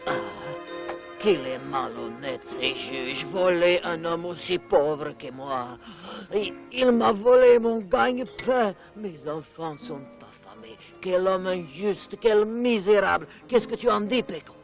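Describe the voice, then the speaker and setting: A high, creaky cartoon water carrier, honest and hard done by. He complains plaintively in big rises and falls, with faint music behind him. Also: expressive, slow pace, exaggerated voice. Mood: lamenting. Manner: plaintive, exclamatory. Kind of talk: French cartoon dub acting.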